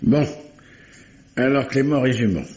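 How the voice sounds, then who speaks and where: An actor playing a gruff, hesitant middle-aged police commissioner in an old radio drama, stammering and repeating himself. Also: male voice, mid-range voice, unhurried, darkish tone, natural voice. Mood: musing, brisk. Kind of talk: mid-century French radio drama acting. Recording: dull, roomy vintage radio recording.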